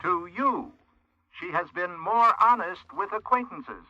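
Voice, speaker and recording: A piercing, high-voiced truth-telling computer. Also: male voice, a little slow, bright, forceful tone, dry recording, full character voice. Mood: coldly factual. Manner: clipped, impersonal, announcing pronouncements. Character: blunt game computer that tells uncomfortable truths. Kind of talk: 1980s radio drama acting. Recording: dull, band-limited radio sound.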